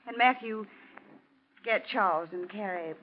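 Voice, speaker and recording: A distressed lady of a grand house, played by an actress, urgent and mid-pitched. She speaks in the style of a forties radio melodrama, on a dulled old recording. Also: unhurried, lo-fi sound, natural voice. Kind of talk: 1940s radio acting.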